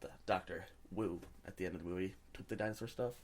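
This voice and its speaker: A man's voice: sits low, fast, darkish tone. A man co-hosting a podcast, chatting casually about pop culture and giving his opinions freely. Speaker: podcast co-host.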